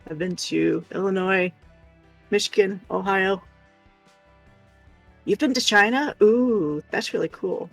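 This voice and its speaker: A woman's voice: medium pitch, slow, vocal fry, pauses often, no room sound, faint music behind. An American woman co-hosting a livestream, chatting casually with viewers. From United States. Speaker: livestream co-host.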